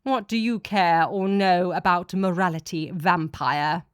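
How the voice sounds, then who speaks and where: A woman's voice: fairly low pitch, unhurried, clear, resonant tone, no echo, lightly acted. A menacing false god of an alien people, acted out by a woman for an audiobook. He is a cloaked tyrant who sneers and commands with open contempt. The sound is dry, with very little room. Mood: sneering. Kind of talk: dramatic audiobook character voice.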